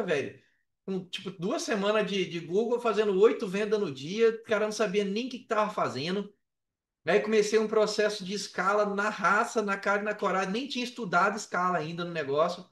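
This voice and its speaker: A man's voice: high voice, a bit bright. A man with a Brazilian Portuguese accent telling his own story casually on a podcast, with slang, swearing and emphatic repetition. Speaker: podcast guest, affiliate marketer. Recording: roomy echo, distant from the mic.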